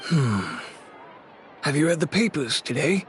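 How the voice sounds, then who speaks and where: An actor playing a wary, weary businessman in a game, speaking in a measured, dry manner with frequent sighs. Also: on the high side, very animated, a little hiss or hum. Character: wary, weary male businessman, dryly polite. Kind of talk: game voice acting.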